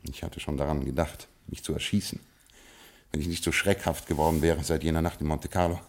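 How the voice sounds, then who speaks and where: Ruined lord, dry and fatalistic, 1950s radio drama, low husky voice. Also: male voice, steady tone, brisk. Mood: resigned stately. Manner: stately, absent-minded, dryly fatalistic. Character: ruined, absent-minded Scottish male lord, fatalistic.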